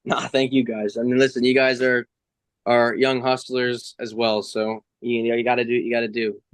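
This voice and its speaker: A man's voice: mid-range voice, flat delivery, moderate pace, dry recording. A man of nineteen talking casually and informally as a racing driver being interviewed, with some slang. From United States.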